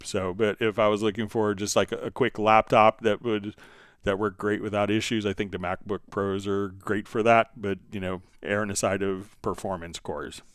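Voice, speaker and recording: A man's voice: fairly low pitch, flat delivery, normal speed, smooth, no echo, studio quality. A man hosting a live question-and-answer stream, talking casually and explaining things in an informal, rambling way with asides. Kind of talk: casual unscripted Q&A talk, reading out viewer questions.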